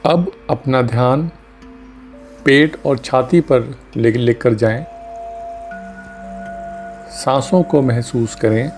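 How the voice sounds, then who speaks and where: A man leading a guided meditation as a doctor, speaking gently and calmly in short phrases with long pauses. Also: medium pitch. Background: music under the voice.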